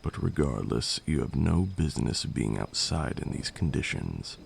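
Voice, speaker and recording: Guy doing a haughty caring vampire lord for asmr, super creaky stern voice, echoey room with some noise behind. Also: extremely low pitch, sing-song, moderate pace, full character voice. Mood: firm, concerned. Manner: stern, formal, scolding. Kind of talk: ASMR roleplay.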